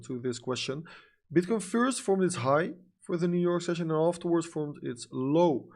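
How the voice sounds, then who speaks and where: Gravelly Dutch-accented man streaming casually. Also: fairly high pitch, darkish tone. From Netherlands. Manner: frequent fillers like "you know" and "like", blunt and profane. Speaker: livestream trader. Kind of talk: casual livestream chat reading comments.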